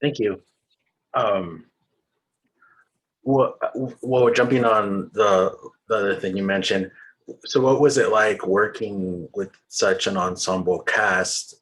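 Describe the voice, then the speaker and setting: A man's voice: on the low side, takes it slowly, pauses often, a bit bright, rough recording. A man interviewing an actress over a video call, asking polite questions with some hesitation.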